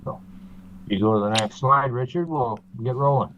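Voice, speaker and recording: A man from Canada, a guest in an interview, explaining steadily with frequent pauses and fillers over a video call. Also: mid-range voice, fairly bright tone, a little hiss or hum. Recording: tinny phone-like line.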